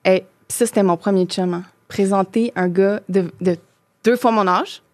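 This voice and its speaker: A woman's voice: medium pitch, fairly even intonation, moderate pace, a little mellow, no room sound. Québécoise woman in her 30s chatting away, lots of euh and restarts, mid voice. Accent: Québécois French. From Quebec. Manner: chatty, many fillers and restarts. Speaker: podcast guest. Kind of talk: casual chat.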